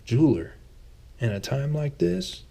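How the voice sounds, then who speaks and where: A man's voice: mid-range voice, lively intonation, unhurried, creaky, mellow, voice pushed up. A man voicing a blunt, sardonic wanderer in a fantasy audiobook, with a wry, laconic, jeering way of talking. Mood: wry, curious. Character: Lucian, sardonic, blunt tobacco-chewing wanderer.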